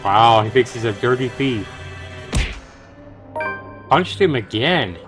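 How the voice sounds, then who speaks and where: A man's voice: mid-range voice, lively intonation, takes it slowly, over music. A man streaming a game, reading a visual novel aloud and adding casual commentary.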